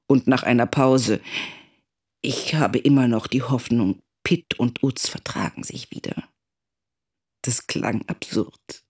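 An actress playing a worried, candid middle-aged mother telling her story in a dramatic first-person monologue, with a husky, creaky voice. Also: very deep voice, takes it slowly, darkish tone, exaggerated voice. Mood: indignant, wry.

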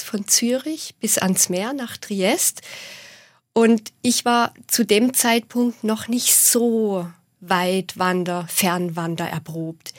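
Calm Swabian woman in her forties. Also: mid-range voice, no echo, clean studio sound. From southwest Germany. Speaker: guest. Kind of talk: radio interview. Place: radio studio.

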